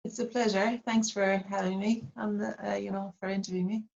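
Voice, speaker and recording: A middle-aged woman with an Irish accent from Cork, talking reflectively in an interview and pausing often with fillers and restarts. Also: mid-range voice, flat delivery, gravelly, darkish tone, lo-fi sound. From Ireland. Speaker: artist, interview guest. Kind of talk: casual interview talk.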